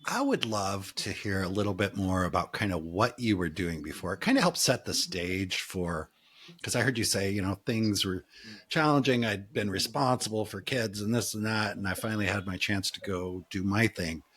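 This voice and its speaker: A man's voice: lowish voice, expressive, moderate pace, fairly bright tone, slightly rough. A man hosting a travel podcast, chatty and conversational, often jumping in with questions. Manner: chatty, interjects, laughs easily. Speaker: podcast host. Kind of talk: conversational interview.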